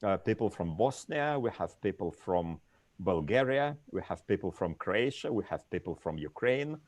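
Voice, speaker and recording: A man's voice: sits low, animated, normal speed, dry recording. A man moderating an online panel discussion over a video call, reading out lists of places with frequent "uh" fillers.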